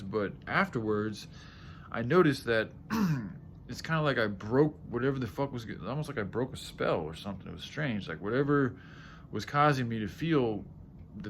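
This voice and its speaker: A man with a groggy, tired voice talking casually to viewers in a halting, self-correcting, sweary ramble, often clearing his throat. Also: mid-range voice, fairly bright tone, slight background noise. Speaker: vlogger.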